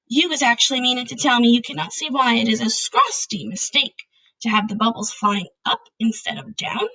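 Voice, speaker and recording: A woman voicing an excitable, indignant male storybook giant who speaks emphatically in mangled grammar and nonsense words. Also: a little high, fairly quick, slightly rough, heavily acted, poor audio.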